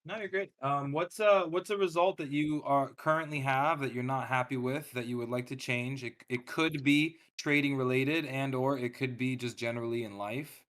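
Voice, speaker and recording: A man hosting a live coaching call, talking casually and acting out thoughts with sudden loud bursts. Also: medium pitch, normal speed, hardly any pauses. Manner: energetic, acts out his own thoughts in a loud excited voice.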